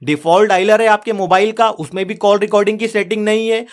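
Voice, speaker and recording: A man's voice: high-pitched, fairly even intonation, fast talker. A man narrating a step-by-step phone tutorial as the host, in an instructional way, addressing viewers as friends.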